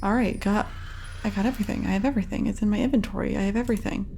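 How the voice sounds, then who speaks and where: A woman's voice: medium pitch, fairly even intonation, rapid-fire, vocal fry, mellow, slight background noise. A woman giving chatty, casual gameplay commentary, muttering reactions to herself as she plays. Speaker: let's play host.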